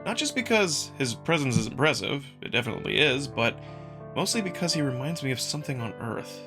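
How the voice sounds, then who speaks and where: A man's voice: medium pitch, very animated, brisk, vocal fry, music in the background. A man reading first-person visual novel narration as a scripted storyteller. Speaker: narrator and voice performer.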